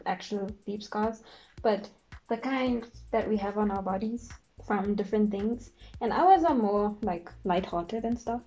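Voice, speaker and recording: A woman's voice: medium pitch, warm tone, highs cut off. A young woman vlogger with a congested, fading voice, telling a chatty, giggly story in her bedroom while her makeup is done. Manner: chatty, giggly, acts out quotes. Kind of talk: casual storytelling vlog. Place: bedroom, indoors.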